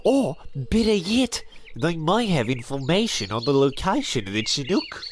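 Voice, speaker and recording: An actor playing a hesitant, bookish gun caddy who stammers and muses in a comedic radio play. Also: male voice, fairly high pitch, sing-song, normal speed. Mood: meek. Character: meek bookish male gun caddy assistant.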